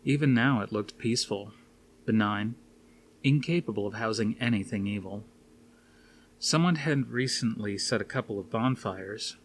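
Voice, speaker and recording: A man's voice: on the low side, moderate pace, slight background noise. A man reading a scripted story aloud as an audiobook narrator. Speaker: audiobook reader.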